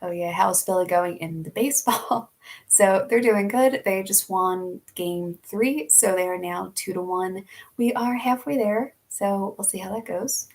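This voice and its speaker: A woman's voice: medium pitch, a little slow, a little mellow. A young woman from Philadelphia with a Philly accent, chatting casually and laughing often as she answers viewer questions in a live stream. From Philadelphia, USA.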